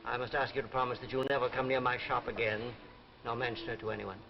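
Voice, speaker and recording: An actor playing a calm, mysterious shopkeeper with formal, polite speech in a 1950s drama. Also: male voice, mid-range voice, fast, gravelly, close to an everyday voice. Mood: grave, courteous. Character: calm, courteous, mysterious shopkeeper selling what people need. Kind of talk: 1950s television drama acting. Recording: muffled 1950s broadcast, music behind.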